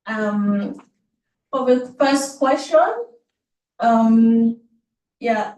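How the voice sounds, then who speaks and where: A woman researcher answering an audience question on a panel, halting between short phrases. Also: fairly high pitch. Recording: echoey room, distant mic.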